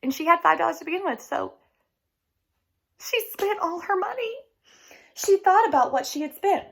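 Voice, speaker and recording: High, animated woman reading aloud. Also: normal speed, vocal fry. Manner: animated, addresses young listeners directly. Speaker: teacher reading aloud to children. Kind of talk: expressive picture-book read-aloud.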